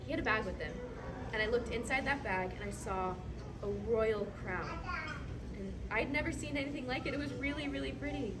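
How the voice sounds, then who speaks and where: A woman playing a cheerful young storybook princess, telling her adventures to children in a gentle, chatty way and asking them questions. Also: fairly high pitch, a little fast, close to an everyday voice. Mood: eager, dreamy. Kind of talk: live interactive storytelling for children. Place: busy hotel restaurant, recorded from the audience. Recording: distant phone-camera sound in noisy restaurant.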